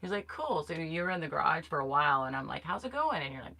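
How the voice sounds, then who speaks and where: A woman's voice: deep voice, sing-song, quick pace, gravelly, sharp tone. A middle-aged woman co-hosting a casual camping live stream from a small camper, chatty and laughing often. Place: small insulated camper interior. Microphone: fixed camera framing two people on a bench.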